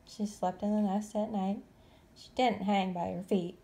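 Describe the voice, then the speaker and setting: A woman reading a children's story aloud expressively, with deliberate, dramatic phrasing. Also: medium pitch, steady tone, mellow. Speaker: storybook reader.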